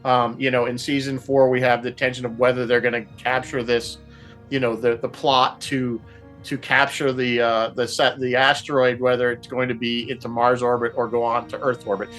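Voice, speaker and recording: A man with a mid-pitched voice talks casually on a radio roundtable, with music underneath. He explains at length, haltingly, often doubling back on his words as he thinks. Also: little pitch movement, fairly bright tone. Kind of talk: casual discussion, explaining a TV series.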